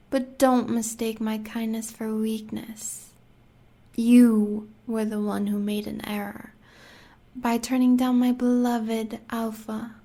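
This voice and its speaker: Slow, sadistic American huntress villainess. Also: female voice, fairly high pitch, warm tone. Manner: taunting, savoring words slowly, often dropping to a whisper. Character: sadistic, seductive huntress lover of a werewolf villain. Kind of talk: audio roleplay villain acting.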